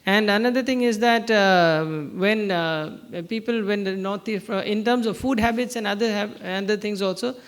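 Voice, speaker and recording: A middle-aged man from Northeast India presents at an academic conference, his voice sitting notably high for a man. He speaks in a chatty way, dropping "uh" fillers and restarting his sentences as he goes. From Assam, Northeast India. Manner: frequent "uh" fillers and restarts, conversational anecdotes. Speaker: conference speaker, professor and dean. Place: conference hall.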